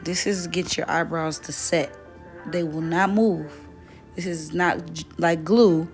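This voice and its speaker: Young woman, slightly raspy, relaxed chatty beauty tutorial, soft music behind. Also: on the low side. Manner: relaxed, chatty, self-correcting. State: voice dry from weather. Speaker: beauty vlogger. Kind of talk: casual makeup tutorial chat.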